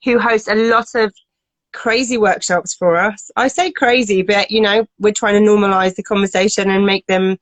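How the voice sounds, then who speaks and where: A woman hosting a casual live stream, slightly croaky from a cold, friendly and quick to laugh. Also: medium pitch, steady tone, no room sound. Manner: friendly, laughs often, um-filled. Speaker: host. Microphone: phone or computer mic on a live stream.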